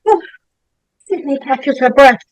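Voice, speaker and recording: Female narrator for screenplay directions; medium pitch, unhurried pace, dry close sound. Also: expressive, dry recording.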